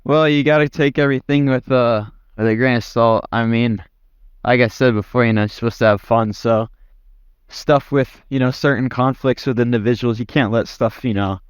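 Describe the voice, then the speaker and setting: A man's voice: mid-range voice, fairly even intonation, moderate pace, smooth, dry recording, clean studio sound. A man giving casual interview answers in a blunt, offhand way, swearing freely. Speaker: interview guest, former commander in an online roleplay community.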